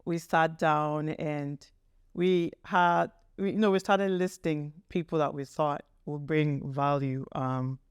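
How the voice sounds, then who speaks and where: A woman's voice: deep voice, fairly even intonation, slow, clear, resonant tone, no room sound, clean studio sound. A woman hosting an interview podcast, introducing and addressing people in an enthusiastic, effusive way. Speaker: podcast host.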